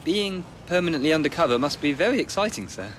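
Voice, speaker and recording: An actor playing an earnest, polite young police constable in a radio comedy, speaking formally and precisely. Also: male voice, fairly high pitch, expressive, fast, fairly bright tone, a little hiss or hum. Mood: politely concerned. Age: young adult. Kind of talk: radio comedy drama, first-person narration.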